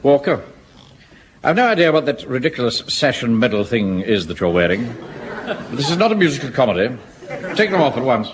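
An actor playing a pompous, self-important middle-aged platoon captain in a radio sitcom. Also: male voice, medium pitch, very animated, brisk, a faint crowd, lightly acted. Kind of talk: 1970s radio sitcom acting.

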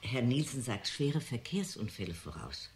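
An actress playing a hesitant, earnest woman visitor in a German radio play, speaking haltingly and emphatically. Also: rumbling low, very animated, a little mellow, a little hiss or hum. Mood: earnest, insistent.

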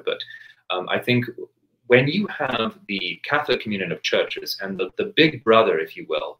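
Guest priest, 30s, from England, low voice, careful and hesitant, video-call sound. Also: male voice, a bit bright, poor audio. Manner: measured, many restarts and hesitations. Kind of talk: thoughtful interview answer. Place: home study with bookshelves, video call.

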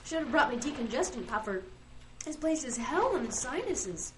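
A woman's voice: high-pitched, very animated, normal speed, slight background noise, low-quality recording. A woman playing a weary, chatty immortal who runs errands for heaven and complains wryly, in a fantasy comedy audio drama. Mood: dryly matter-of-fact. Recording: music under the voice.

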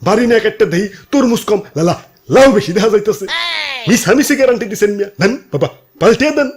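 A man's voice: high voice, lively intonation, quick pace, a crowd in the background. A man voicing a skeptical, grumbling male customer in a comic cartoon, stammering and complaining as he haggles. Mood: indignant.

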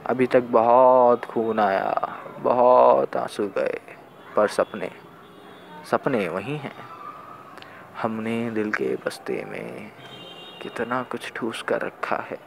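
A young man reciting spoken-word poetry in a deliberate, dramatic manner, with weighted pauses and repeated phrases. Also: medium pitch, expressive, gravelly, background noise. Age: young adult. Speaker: student poet.

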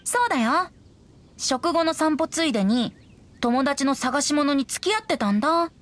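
A woman playing a youthful male wandering bard in a game, breezy and gently teasing. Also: sits high, animated, normal speed, slight background noise. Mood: playful. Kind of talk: Japanese game character acting.

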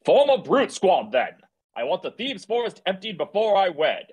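A man playing a pompous, scheming, imperious prince with a husky voice in a screenplay table read. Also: sits high, very animated, moderate pace, darkish tone, heavily acted.

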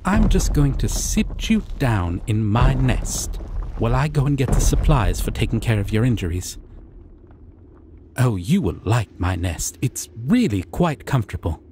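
A man voicing a shy, caring male dragon in an ASMR roleplay, talking softly and reassuringly. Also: mid-range voice, very animated, a little hiss or hum. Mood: gentle. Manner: soft, reassuring, slow and hesitant. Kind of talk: ASMR roleplay audio drama.

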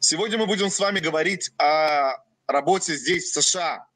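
A young man from Russia, a company president giving a webinar in short phrases and pausing for his interpreter. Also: fairly high pitch, fairly bright tone, dry recording. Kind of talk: webinar presentation.

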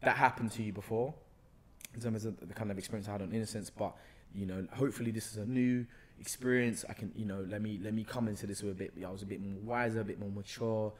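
A young man with a London accent, talking casually as a podcast guest and retelling his experiences in a slangy, expressive way. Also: on the low side, fast. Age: young adult. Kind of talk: casual conversational storytelling. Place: bright indoor room, seated on a sofa. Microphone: podcast microphone on a boom arm, close.

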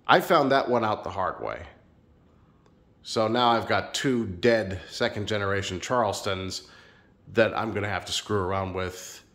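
A man filming a casual home vlog in his sunroom, a little nasal and stuffed up from a sinus infection, talking in a relaxed, rambling, friendly way. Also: lowish voice. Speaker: ceiling fan collector vlogger. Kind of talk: casual show-and-tell vlog.

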